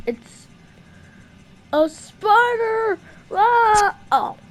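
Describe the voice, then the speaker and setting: A woman improvising playful puppet show dialogue in an excitable, exclamatory way. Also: extremely high pitch, extremely slow, faint music behind.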